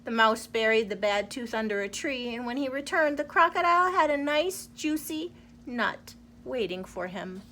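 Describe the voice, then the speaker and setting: High, bright woman reading a children's story. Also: expressive, normal speed, a little hiss or hum. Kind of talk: expressive children's storybook narration.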